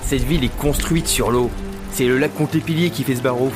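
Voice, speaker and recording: A chatty townsman acted by a man in an audio drama. He talks in familiar slang, teasing yet helpful, at a middling male pitch. Music plays clearly behind him. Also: steady tone, a little fast, natural voice. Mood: frank, defiant. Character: chatty working-class local townsman, mocking but helpful. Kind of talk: French audio drama dialogue.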